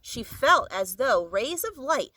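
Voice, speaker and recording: A woman's voice: sits high, very animated, takes it slowly, bright, forceful tone, a little hiss or hum. A woman storyteller reading a children's story aloud expressively.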